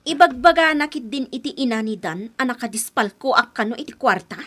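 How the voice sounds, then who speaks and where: A woman's voice: a little high, expressive, brisk, bright, forceful tone. An actress playing an upset, indignant young woman in an Ilocano radio drama, with a Filipino accent, exclaiming and pleading. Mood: hurt, upset.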